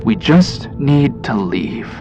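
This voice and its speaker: A man's voice: mid-range voice, sing-song, slow pace, lo-fi sound, over music, pitched above the performer's own voice. A man voicing a nervous, withdrawn younger man in a horror radio story, hesitant and pleading in manner. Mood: fearful, urgent.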